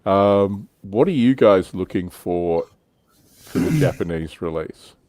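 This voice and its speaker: Low-voiced man, dry close sound. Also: expressive, slow pace, darkish tone, dry recording. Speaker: livestream host. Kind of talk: casual panel discussion of tabletop wargaming. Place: indoors, online livestream.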